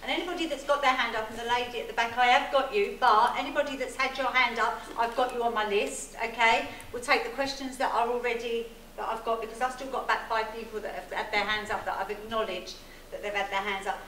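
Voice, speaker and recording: A woman's voice: a little high, steady tone, quick pace, a bit bright. Woman chairing a meeting in echoey hall. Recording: echoey hall, distant mic, faint noise.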